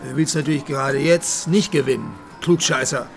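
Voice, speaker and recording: Man acting a surly, high-voiced gambler. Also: brisk, faint music behind, close to an everyday voice. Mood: surly, irritated. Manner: brusque, sneering. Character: surly, greedy, sneering male slot-machine gambler. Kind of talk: colloquial radio play acting.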